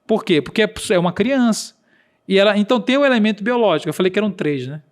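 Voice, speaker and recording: High-voiced earnest man explaining. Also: animated, fast. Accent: Brazilian Portuguese regional accent. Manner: earnest, explanatory, acts out quoted dialogue. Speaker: podcast guest. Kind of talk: explaining in a podcast conversation.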